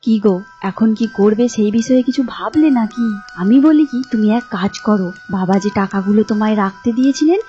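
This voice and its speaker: A woman's voice: medium pitch, moderate pace, lo-fi sound, music in the background, lightly acted. A woman voicing a pushy, grasping young wife and daughter-in-law in a folk tale, insistent in manner. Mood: scheming. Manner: insistent, nagging.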